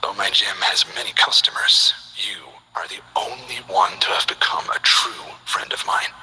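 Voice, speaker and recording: A man voicing a calm, polite male gym owner who speaks softly and half-whispers in a video game. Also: low voice, low-quality recording. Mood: gentle. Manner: soft-spoken, measured, half-whispered.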